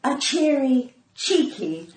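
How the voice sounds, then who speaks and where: A woman's voice: high voice, very animated, slow pace, a little mellow, reverberant, slight background noise, rough recording. An older woman with a cut-glass English accent chatting playfully and theatrically as a podcast guest. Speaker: guest comedian and actor.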